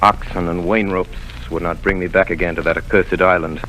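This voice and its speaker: A grown man recalls the past in measured, brooding tones, as an actor voices him. His voice is low yet bright, with faint hiss behind it in an old radio play. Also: flat delivery, poor audio. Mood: reflective. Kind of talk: storytelling narration.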